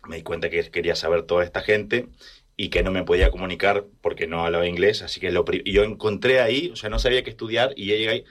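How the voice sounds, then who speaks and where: A man from rural Argentina with an Argentine accent, telling personal stories casually and haltingly as he searches for words. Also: low voice. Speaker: interview guest.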